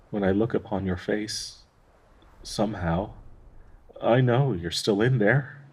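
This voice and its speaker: An actor playing a devoted, grieving male dryad in an intimate audio roleplay, speaking tenderly and haltingly with a husky, breathy voice. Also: fairly low pitch, darkish tone. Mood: desperate hope. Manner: slow, halting, tender pleading with sighs.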